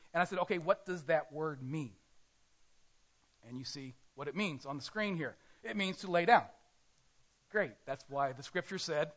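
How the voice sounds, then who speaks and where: A man's voice: a little high, fairly bright tone. Man preaching a casual sermon, lo-fi. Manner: conversational, self-correcting preaching. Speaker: pastor.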